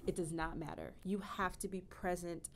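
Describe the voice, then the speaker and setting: Low-voiced woman, laughing as she talks. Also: moderate pace, vocal fry, slight background noise. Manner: laughing often, animated with hand gestures. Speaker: stage actress and singer. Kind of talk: casual interview chat. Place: indoor room with wooden shelves.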